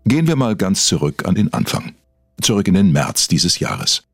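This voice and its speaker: A man's voice: sits low, huge pitch swings, moderate pace, vocal fry, husky, dry recording, clean studio sound, faint music behind, heavily acted. A man playing a self-serious investigative influencer, narrating a satirical true-crime podcast in a solemn, dramatic way. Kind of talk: scripted documentary narration, satirical.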